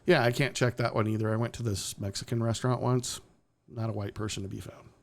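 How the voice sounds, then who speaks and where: A man's voice: on the low side, steady tone, fast, vocal fry. Male stream host, blunt and sarcastic, casual opinionated delivery, swears freely. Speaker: podcast host.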